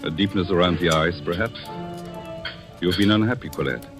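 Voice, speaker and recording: A man's voice: low voice, normal speed, natural voice. A man playing a lovestruck young artist, tender and yearning, with an intimate, earnest manner in 1940s radio style. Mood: wistful, tender. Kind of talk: 1940s radio romantic drama acting. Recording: old radio broadcast with music behind.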